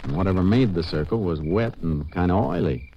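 A calm investigating Ranger in an old radio western. He speaks low and matter-of-fact, with a dull sound and faint noise on the recording. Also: fairly quick. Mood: observant, level. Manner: clipped, matter-of-fact questioning. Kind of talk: 1950s radio drama acting.

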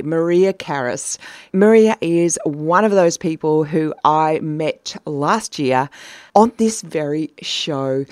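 A woman hosting a podcast interview, chatty and quick to laugh. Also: sits low. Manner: chatty, laughs often, warm-hearted banter. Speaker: podcast host.

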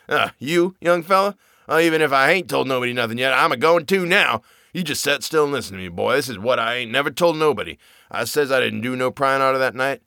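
A man's voice: medium pitch, vocal fry, sharp tone, no echo, clean studio sound. A man doing a raving half-mad old man. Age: older. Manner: rustic old-timer dialect, feverish raving. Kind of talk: audiobook character reading.

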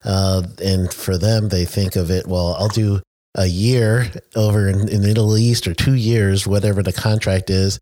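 A chatty, friendly man from the United States with a lowish voice. He talks easily and laughs often, recorded dry and close. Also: fairly even intonation, normal speed, mellow, no echo. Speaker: interviewer, safety trainer. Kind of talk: conversational interview.

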